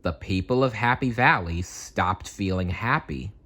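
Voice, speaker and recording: Male storyteller, low husky voice, unhurried, expressive children's read-aloud, theatrical.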